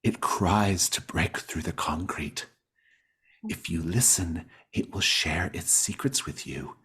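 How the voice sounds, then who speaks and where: A man's voice: sits low, slow pace, pushed and bright, slightly rough, full character voice. A man voicing a distracted, starry-eyed hippie woman, a rapt religious devotee, in a tabletop roleplaying game. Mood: hushed rapture.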